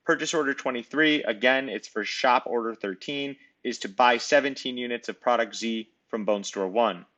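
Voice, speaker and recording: A man narrating a screen-recorded software demo, explaining each step in a conversational way. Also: mid-range voice, normal speed. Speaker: software demonstrator. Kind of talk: screen-recorded tutorial walkthrough.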